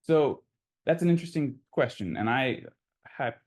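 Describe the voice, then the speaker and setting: A man's voice: mid-range voice, expressive, normal speed, a little mellow. A man giving an informal academic lecture, explaining in a conversational way and thinking aloud as he takes questions. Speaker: lecturer presenting a technical talk.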